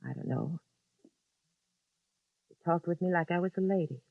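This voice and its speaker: A woman's voice: lowish voice, normal speed, dry recording, close to an everyday voice. An actress playing a frightened, lonesome young woman who pleads urgently, in a 1950s radio drama. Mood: confiding. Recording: dull lo-fi 1950s radio recording.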